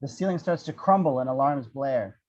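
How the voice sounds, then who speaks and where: A man's voice: mid-range voice, lively intonation, moderate pace, darkish tone, lo-fi sound. A man reading out the action descriptions of a screenplay as narrator.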